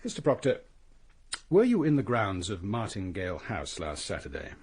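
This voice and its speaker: A husky police inspector in a radio drama. He is formal, courteous and relentless, probing with a widely moving pitch while staying controlled. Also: huge pitch swings, moderate pace, a little mellow, heavily acted.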